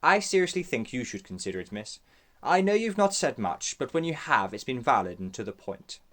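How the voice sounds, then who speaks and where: A man plays a courteous, slightly hesitant IT worker in an amateur radio play. His voice sits at a middle pitch and his delivery is formal and measured. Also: very animated, fairly bright tone. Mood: persuasive.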